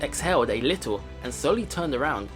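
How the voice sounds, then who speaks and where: A man's voice: mid-range voice, very animated, brisk. A man hosting a let's play, reading game text aloud expressively and adding casual commentary. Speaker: let's play video host. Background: game music.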